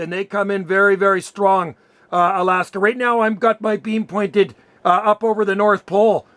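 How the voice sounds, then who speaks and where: A man's voice: high voice, a bit bright, no room sound. A man talking casually and colloquially as an amateur radio operator, often affirming what he has heard. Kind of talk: casual radio contact chat.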